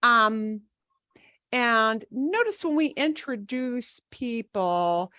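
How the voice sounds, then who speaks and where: Unhurried woman teaching an online class. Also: fairly high pitch, expressive, pushed and bright, smooth, no room sound, studio quality. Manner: casual, explaining with fillers. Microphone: video call.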